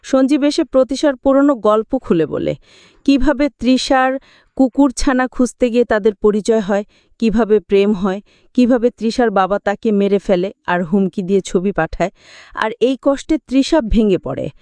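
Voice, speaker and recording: Clear, high-voiced woman narrating a film story. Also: moderate pace, warm tone, no room sound. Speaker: movie explainer narrator. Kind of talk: scripted dramatic story narration.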